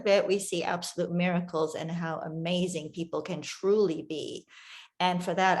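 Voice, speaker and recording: A woman giving an earnest, slightly nervous award acceptance speech over a video call, her voice a little strained as she is losing it. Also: on the low side. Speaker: award recipient, legal advocate.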